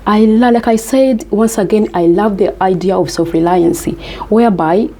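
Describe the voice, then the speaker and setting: A woman's voice: mid-range voice, normal speed, warm tone. A woman from Uganda, giving earnest, emphatic life advice to camera and repeating her key points.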